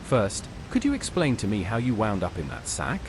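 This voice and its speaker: Woman as courteous game hero: very deep for a woman, widely swinging pitch, heavy rumbling noise behind. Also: wildly swooping pitch, moderate pace, darkish tone, heavily acted, poor audio. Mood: polite, puzzled. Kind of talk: AI-voiced video game quest dialogue.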